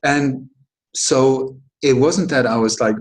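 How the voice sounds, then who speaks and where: A middle-aged man from Sweden, a guest in an interview, answering at length in a halting way with many restarts and emphatic points. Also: medium pitch, low-quality recording. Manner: halting, frequent restarts and self-corrections, emphatic. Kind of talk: long conversational answer.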